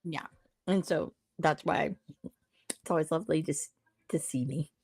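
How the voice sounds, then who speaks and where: A woman with a loud voice telling a casual story, rambling with lots of "like" and acted-out dialogue. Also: low voice, measured pace, vocal fry, husky, dry recording. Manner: rambling, full of "like" and quoted dialogue. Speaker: podcast co-host.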